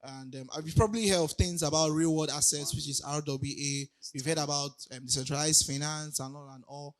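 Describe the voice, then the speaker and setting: Raspy-voiced man giving a talk. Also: fairly high pitch, steady tone, a little fast. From Nigeria. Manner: hesitant, many ums and restarts, laughs lightly. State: raspy from recent illness. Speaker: conference speaker. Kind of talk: casual conference talk. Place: conference hall.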